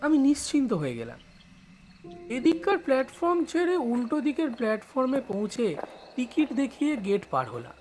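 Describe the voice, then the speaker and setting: A man playing a village schoolboy who studies in the city, telling his story in expressive first-person narration. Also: extremely high pitch, exaggerated voice. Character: village schoolboy studying in Kolkata, recalling his life.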